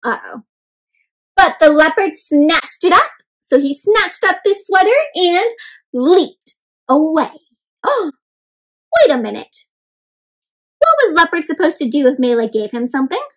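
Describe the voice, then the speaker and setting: A woman storyteller reading a picture book aloud to young children, animated and expressive, putting questions to them and explaining words. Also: high voice, a little slow, pauses often, low-quality recording. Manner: dramatic gasps and exclamations.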